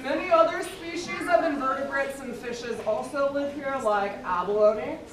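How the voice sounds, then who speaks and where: Woman acting high, theatrical puppet sea creature. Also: a crowd in the background, close to an everyday voice. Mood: explaining. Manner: exaggerated, slow, theatrical delivery. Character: chatty, theatrical talking sea creature of kelp forest. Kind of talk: live children's puppet show acting. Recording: echoey hall, distant mic, audience noise.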